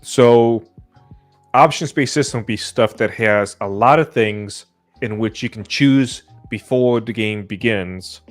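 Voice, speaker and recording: A man with a slightly low voice moderates a casual podcast chat. He restates ideas simply, a piece at a time, and steers the talk. Music plays faintly in the background. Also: unhurried. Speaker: discussion host and moderator.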